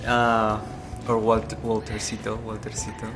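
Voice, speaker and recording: Young male interviewee, Peruvian accent, native Spanish speaker, lowish pitch, music clearly underneath. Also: completely flat delivery, measured pace. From Peru. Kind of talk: casual interview answers. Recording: background music over the voice.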